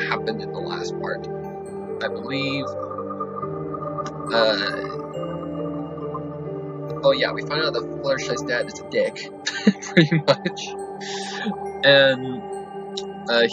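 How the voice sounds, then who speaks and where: A young man hosting a casual reaction video, chatty and rambling with fillers. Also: mid-range voice. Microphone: desktop mic, no headphones. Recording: dull lo-fi home recording. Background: audiobook music playing.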